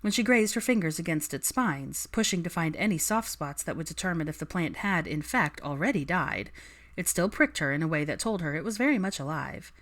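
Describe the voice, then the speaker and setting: A woman's voice: sits low, lively intonation, fairly quick, a bit bright. A woman reading fiction aloud as an audiobook narrator, in scripted storytelling style.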